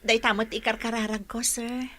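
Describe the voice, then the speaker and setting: Casting: actress as respectful Ilocano caregiver, young woman, higher-pitched and bright, for radio drama. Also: level tone, moderate pace, close to an everyday voice. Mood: respectful, worried. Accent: Filipino (Ilocano).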